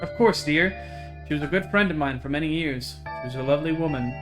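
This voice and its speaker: A man's voice: a little high, quick pace, natural voice. A man voicing a kindly older small-town woman, gracious, chatty and folksy, in a visual novel. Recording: game music behind the voice.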